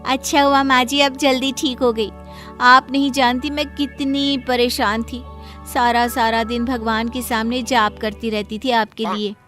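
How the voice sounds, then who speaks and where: A woman's voice: on the high side, little pitch movement, a little slow, a bit bright, faint music behind. A woman voicing a sly, sweet-talking younger daughter-in-law in a moral cartoon story, with showy politeness. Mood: gushing.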